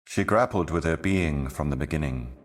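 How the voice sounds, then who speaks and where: Extremely deep, ancient cosmic envoy. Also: male voice, lively intonation. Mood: solemn, cryptic. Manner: grave, brooding, measured, portentous. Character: ancient solemn otherworldly envoy, weary eternal servant of cosmic darkness. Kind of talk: scripted game monologue, cryptic poetic narration.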